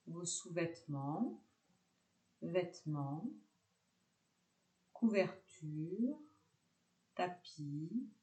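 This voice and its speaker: A woman teaching yoga nidra, guiding a relaxation meditation calmly in short, separated phrases. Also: fairly low pitch, roomy sound.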